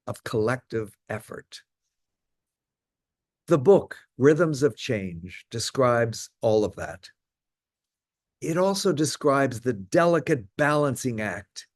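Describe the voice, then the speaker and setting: A man in his seventies with a Canadian accent, giving a measured prepared talk as guest speaker. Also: mid-range voice, no room sound, clean studio sound. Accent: Canadian English.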